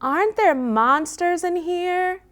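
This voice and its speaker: A woman voicing an anxious, bossy little female husky puppy in a children's story. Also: very high voice, slow, a bit bright, pitched above the performer's own voice, full character voice. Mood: nervous. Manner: fretful, scolding.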